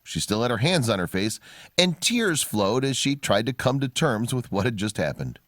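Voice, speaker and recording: A man reading scripted narration of a human-interest story in a measured storytelling manner. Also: mid-range voice, sing-song, a bit bright, no room sound, clean studio sound.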